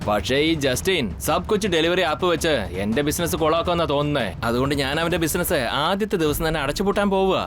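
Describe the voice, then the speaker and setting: A man's voice: a little high, expressive, quick pace, few pauses, fairly bright tone, slight background noise. A man voicing a boastful cartoon villain who schemes and gloats, laughing at his own plans. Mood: gloating. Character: boastful adult male villain gang boss. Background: cartoon music.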